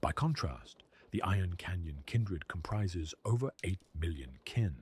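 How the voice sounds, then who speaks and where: A man reading scripted lore narration in a solemn, measured way with a husky voice. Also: deep voice, lively intonation.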